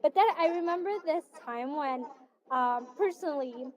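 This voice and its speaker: High-voiced woman with an Italian accent. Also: moderate pace, darkish tone. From Italy. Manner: chatty storytelling, laughs often. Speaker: radio chat show co-host. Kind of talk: casual chat. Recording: muffled audio with crowd noise.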